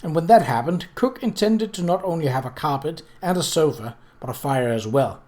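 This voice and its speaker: A storyteller reading a novel aloud at a medium pitch. The delivery is scripted narration, with occasional slips on words that get read over again. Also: lively intonation, normal speed, darkish tone. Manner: stumbles over words and rereads them. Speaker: audiobook reader.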